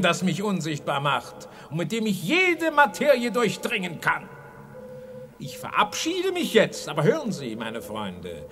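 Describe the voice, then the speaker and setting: An actor playing a pompous, gloating megalomaniac scientist villain in a theatrical science-fiction radio play. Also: male voice, sits high, fairly bright tone, exaggerated voice. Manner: pompous, theatrical, speaks of himself in third person.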